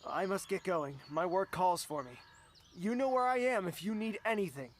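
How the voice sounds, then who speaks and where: Polite royal guy lady voicing him, soft n formal, very up and down pitch, fantasy drama. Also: animated, normal speed. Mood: polite, reserved. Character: courteous, formal young male royal. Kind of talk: fantasy audio drama dialogue.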